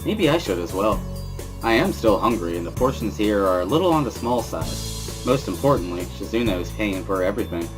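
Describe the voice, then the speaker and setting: A man's voice: on the low side, big pitch swings, a little fast, rough recording. A man reading dramatic first-person narration from a visual novel in a wry, dry way. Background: music.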